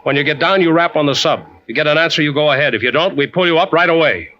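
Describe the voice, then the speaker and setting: Brisk, cautious sea captain. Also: male voice, fairly high pitch, pushed and bright. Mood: grave, firm. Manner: clipped, firm. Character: cautious, authoritative male sea captain of rescue ship. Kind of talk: old-time radio drama acting. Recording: dull vintage radio recording.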